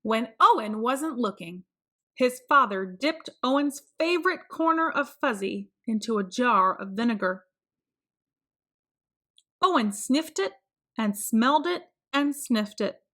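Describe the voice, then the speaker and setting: A woman reading a children's picture book aloud in a slow, expressive, sing-song manner. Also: on the high side. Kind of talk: read-aloud storytelling.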